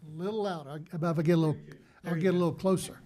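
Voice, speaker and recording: A soft-voiced man chairs a public board meeting, moving between formal procedure and informal remarks into a meeting microphone. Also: fairly high pitch, fairly even intonation, very fast.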